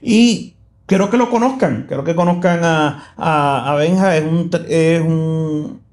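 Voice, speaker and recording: A fairly high-voiced man hosts a relaxed podcast, talking loosely and circling back with fillers and restarts. He sounds easygoing and laughs readily, recorded in a church live room. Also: unhurried. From South Texas. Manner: chatty, rambling with many fillers and restarts, laughs easily. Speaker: podcast host. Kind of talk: casual podcast chat.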